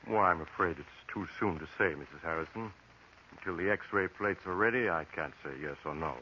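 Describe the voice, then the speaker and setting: An actor playing a grave, tactful doctor in a 1940s radio drama, measured and professional, on a dull, noisy recording. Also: male voice, sits low, pushed and bright. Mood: reassuring, guarded.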